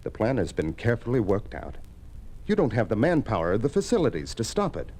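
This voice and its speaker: An actor playing an earnest, defiant young farmers' leader, insistent and persuasive in 1950s radio drama style. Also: male voice, on the low side, huge pitch swings, fairly quick, a little mellow. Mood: urgent, pressing.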